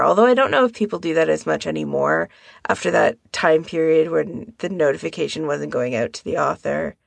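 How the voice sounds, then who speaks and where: Woman podcast host chatting casually, slow and gravelly, really dry close sound. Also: deep voice, dry recording.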